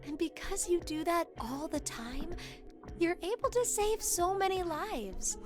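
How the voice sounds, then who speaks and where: An actress voicing an earnest young woman in a video game, admiring and quick to chide. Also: very high voice, animated. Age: young adult. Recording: game audio with music behind.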